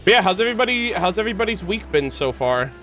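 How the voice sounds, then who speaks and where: A man chatting casually on a livestream as he streams games, rambling along in a halting way. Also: high voice, sing-song, a bit bright. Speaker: game streamer. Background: background music.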